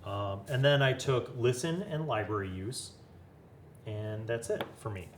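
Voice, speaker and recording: Male host, low voice, casual and inquisitive, talks things through as he goes. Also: slight background noise. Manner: thinks aloud, asks many quick questions. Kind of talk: casual chat about building a tabletop game character.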